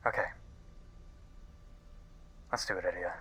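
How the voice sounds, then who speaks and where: A man playing an earnest, guilt-ridden scientist in an audio drama, speaking intensely and haltingly as if recording a log. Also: sits low, pushed and bright, husky, full character voice. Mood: hesitant. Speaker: voice actor. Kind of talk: dramatic audio-log monologue in a fiction podcast.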